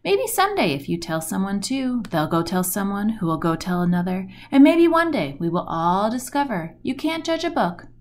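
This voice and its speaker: A woman reading a rhyming children's picture book aloud in an expressive, deliberate storytelling manner, stressing the rhymes and action words. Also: mid-range voice. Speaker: storybook reader.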